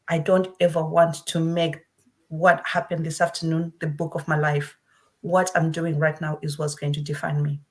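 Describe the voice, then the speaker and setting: A middle-aged woman from Zimbabwe telling her own story in earnest, with frequent restarts. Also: sits low. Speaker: guest speaker. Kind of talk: personal testimony.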